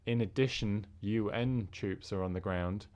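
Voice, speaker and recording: A man interpreting a formal speech into English, halting, with fillers and restarts. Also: fairly low pitch, a little mellow.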